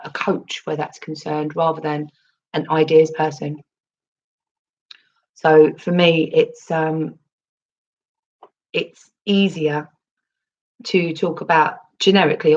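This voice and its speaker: A woman with a husky voice, recovering from losing it, chatting casually on a livestream, answering her chat with lots of ums and restarts. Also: low voice, dull audio. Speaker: crypto livestream host reading chat.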